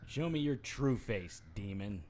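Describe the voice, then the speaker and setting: A man's voice: lowish voice, lively intonation, measured pace, darkish tone, a little hiss or hum. A man playing a wisecracking, defiant private investigator in an audio drama, with a cocky, sardonic delivery. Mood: defiant taunting. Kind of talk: audio drama acting with first-person noir narration.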